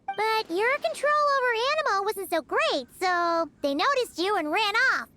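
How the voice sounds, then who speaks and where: A woman voicing a tiny, childlike, cheeky fairy companion in a video game, squeaky and exclamatory. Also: lively intonation, normal speed, noisy background. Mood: chatty. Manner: refers to self in third person, exclamatory.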